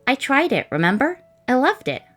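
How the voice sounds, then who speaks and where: A woman's voice: high voice, big pitch swings, normal speed, a bit bright, faint music behind. A woman voicing a thoughtful, encouraging young woman in a comic dub of a space adventure. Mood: gentle, encouraging.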